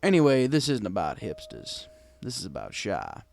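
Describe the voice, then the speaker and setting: A man's voice: fairly low pitch, huge pitch swings, moderate pace, vocal fry, a little mellow. A man reading a scripted first-person story as a wry, conversational bartender pony. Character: wry, gruff bartender pony telling his tale. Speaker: story narrator.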